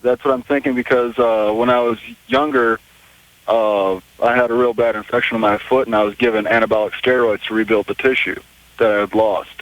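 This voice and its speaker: A man's voice: mid-range voice, level tone, brisk, lo-fi sound. A man in his twenties calling a radio show from a cell phone, talking hesitantly and conversationally. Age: 20s. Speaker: caller. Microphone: cell phone call.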